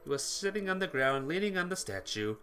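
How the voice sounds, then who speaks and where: A man's voice: on the high side, sing-song, fairly quick, sharp tone. A man hosting a game playthrough, reading visual novel narration aloud dramatically and stumbling over some words. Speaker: gaming playthrough host. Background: game music.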